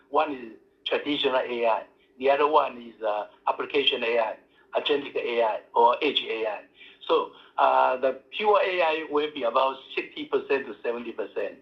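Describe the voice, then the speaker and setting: Halting executive on a muffled line. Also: male voice, mid-range voice. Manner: halting, many fillers, non-native phrasing. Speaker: company executive answering analyst questions. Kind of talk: earnings call answers. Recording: muffled phone-line audio.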